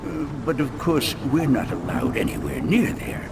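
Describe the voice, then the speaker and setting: A man's voice: medium pitch, very animated, moderate pace, vocal fry, heavy background noise. A man playing a fussy, absent-minded elderly librarian monk who rambles and chides in a fan-dubbed adventure game. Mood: proud, dithering. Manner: rambling, dithering, chiding, easily sidetracked.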